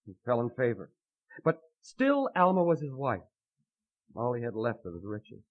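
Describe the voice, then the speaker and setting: An actor playing an earnest, lovesick young man who speaks in halting, poetic, fervent phrases in a melodramatic radio drama. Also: medium pitch, very animated. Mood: brooding, earnest. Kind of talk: melodramatic old-time radio acting. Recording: muffled vintage radio recording.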